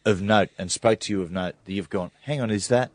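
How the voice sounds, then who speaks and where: A man's voice: on the low side, a little fast, dry recording. A man hosting a radio show, teasing and joking with his guest in breakfast radio banter. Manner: jokey, teasing. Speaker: radio host.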